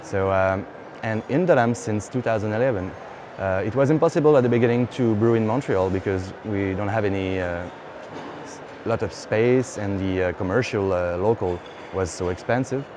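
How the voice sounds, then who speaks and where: Man in his thirties, Quebec French accent, halting English, lowish voice, lapel mic, noisy festival hall. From Quebec, Canada. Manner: halting, many fillers and restarts. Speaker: brewery founder. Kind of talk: on-camera interview answer. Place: indoor beer festival hall. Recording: hall noise behind.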